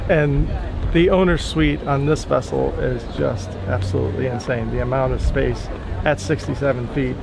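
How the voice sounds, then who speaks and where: A middle-aged man hosting a boating vlog, outdoors on a docked yacht at a marina, upbeat and quick to ask leading questions. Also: medium pitch, steady tone, gravelly, over music. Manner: upbeat, leading questions, hyped sign-offs. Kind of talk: enthusiastic boat-show walkthrough.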